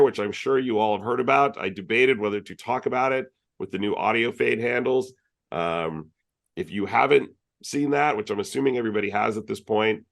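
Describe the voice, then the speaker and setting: Chatty low-voiced man hosting a meetup. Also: gravelly. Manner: chatty, rambling with many fillers. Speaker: user group host. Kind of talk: casual meeting announcements. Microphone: video call.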